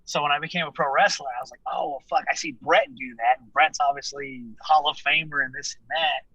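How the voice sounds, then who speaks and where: A relaxed man in his late thirties talks shop with easy laughs and the odd curse. His voice sits slightly high and sounds bright and forceful, recorded dry and close. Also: fairly quick, slightly rough, dry recording, lo-fi sound. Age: 30s. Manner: laid-back, laughing, profane. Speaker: guest, pro wrestler. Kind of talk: casual chat.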